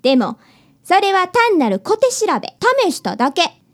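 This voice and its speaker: A woman's voice: very high-pitched, big pitch swings, normal speed. A woman playing a cheeky, precocious young schoolgirl in a radio drama, bossy and haughty. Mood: cool challenge. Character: cheeky, precocious little schoolgirl who challenges detectives.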